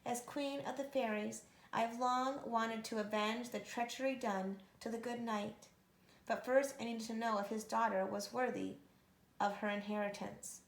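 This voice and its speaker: A woman voicing a gracious, solemn fairy queen in a storybook, soft and stately. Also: fairly high pitch, fairly even intonation, normal speed, reverberant, close to an everyday voice, rough recording.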